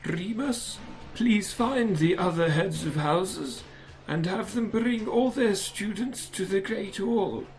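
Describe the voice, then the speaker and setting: A performer voicing an elderly male wizard headmaster in a fan audio drama, speaking formally and deliberately with calm authority. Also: mid-range voice, slight background noise, low-quality recording. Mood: grave, commanding.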